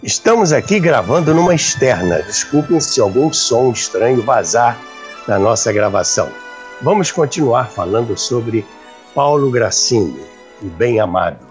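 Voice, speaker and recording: A man's voice: medium pitch, lively intonation, moderate pace, faint music behind. A man presenting a show, addressing his audience.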